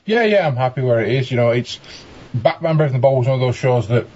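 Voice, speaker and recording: Husky man with stammering delivery. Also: mid-range voice, animated, quick pace, a little mellow, muffled recording. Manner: stammering, repeats words. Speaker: podcast panelist. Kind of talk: casual discussion.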